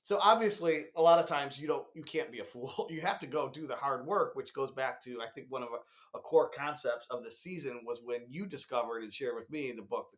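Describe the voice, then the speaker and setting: A man's voice: medium pitch, brisk. Emphatic man co-hosting a business podcast. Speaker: podcast co-host discussing marketing. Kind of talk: conversational business podcast discussion.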